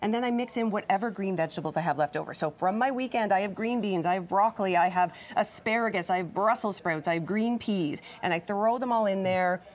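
A woman in her forties from Canada explains recipes on a morning TV cooking segment. She sounds chatty and upbeat, and her many ums keep it casual. Her voice sits in a middle pitch and is picked up by a lapel mic in a news studio. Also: brisk, hardly any pauses. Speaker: nutritionist guest.

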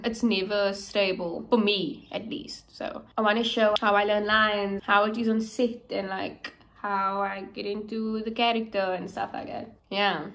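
A woman's voice: mid-range voice, fairly bright tone. Chatty young woman vlogging. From South Africa. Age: young adult. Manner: chatty, filler-heavy, upbeat. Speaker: actress vlogger. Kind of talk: casual vlog to camera. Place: small room indoors at home.

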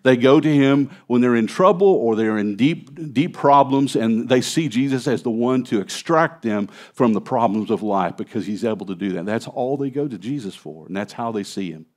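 Pastor in his fifties, plain mid-range male voice, relaxed conversational sermon delivery, close headset mic in a church hall. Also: mid-range voice, moderate pace, few pauses. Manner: conversational preaching, animated gestures at a lectern. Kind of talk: expository sermon. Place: church stage, large indoor hall.

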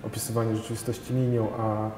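A man in his thirties, a painter, answers interview questions thoughtfully, with many pauses, fillers and restarts. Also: lowish voice, completely flat delivery. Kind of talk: gallery interview. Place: large gallery hall with paintings. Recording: long hall reverb, faint noise.

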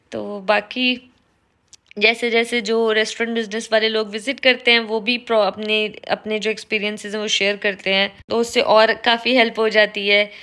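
A woman's voice: fairly high pitch, pushed and bright. A young woman vlogging casually to a phone camera at home, explaining what she has learned running a restaurant and mixing in English words. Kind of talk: casual talking-head vlog. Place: small indoor room at home. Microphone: phone camera at close range.

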